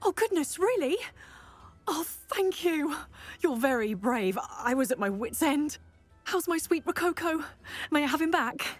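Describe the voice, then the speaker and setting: Grateful, doting pet lover, cooing, fussy, highish voice, faint music behind, video game acting. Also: female voice, big pitch swings, unhurried. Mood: relieved, grateful. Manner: gushing, cooing. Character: doting, grateful, fussy woman who adores her pet.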